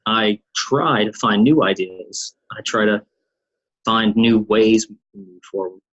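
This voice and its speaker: A man's voice: lowish voice, measured pace, fairly bright tone, muffled recording. A man from Kentucky, a political candidate, giving plain, short interview answers with ums.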